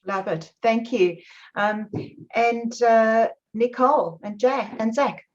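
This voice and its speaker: A woman hosting a video meeting, calling on people in an upbeat, brisk way. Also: on the high side. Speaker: meeting host. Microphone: video call. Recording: dull lo-fi call audio.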